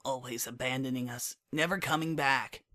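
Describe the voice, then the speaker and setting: A man's voice: mid-range voice, fairly even intonation, normal speed, sharp tone, natural voice. A man voicing a brash young female pegasus pony, desperate and grieving, in a dramatic story reading. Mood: grieving, pleading. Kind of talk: dramatic fan fiction reading.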